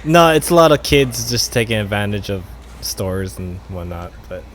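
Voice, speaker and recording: A man's voice: on the low side, expressive, moderate pace, a little hiss or hum. A man co-hosting a casual podcast chat, laid-back and answering in short remarks.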